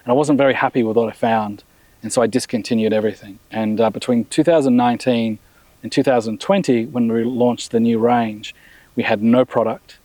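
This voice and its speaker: Founder, mid-level voice, dry close mic, easygoing ramble. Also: male voice, mid-range voice, brisk, no room sound. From Australia. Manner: relaxed, rambling with many restarts and fillers. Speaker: brand founder and CEO. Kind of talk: casual interview.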